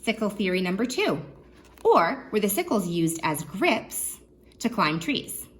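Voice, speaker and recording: A woman children's librarian reading a nonfiction book aloud, expressively, pausing to put questions to young listeners. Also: medium pitch, sing-song.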